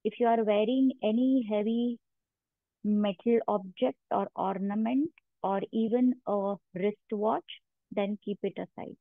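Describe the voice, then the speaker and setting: A woman guiding a meditation as a yoga instructor, giving measured instructions with long pauses between them. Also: medium pitch, fairly even intonation, dry recording. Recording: narrow phone-like sound, very dry.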